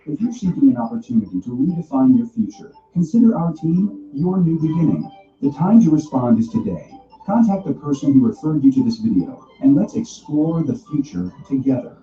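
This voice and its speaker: A man reading a scripted corporate promotional narration with a smooth, polished delivery. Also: fairly high pitch, very animated, fairly quick, mellow. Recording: dull, distant video playback in an echoey room. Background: background music.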